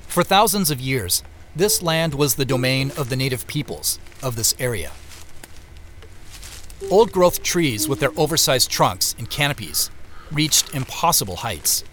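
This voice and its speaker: A man with an American accent playing a reflective, wry solitary traveler who narrates an audio drama in the first person, with measured storytelling and muttered casual asides. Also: medium pitch, very animated, fairly bright tone. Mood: reflective, observant. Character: male solitary traveler, reflective, wryly talking to himself. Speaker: narrator and lead character. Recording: music behind the voice.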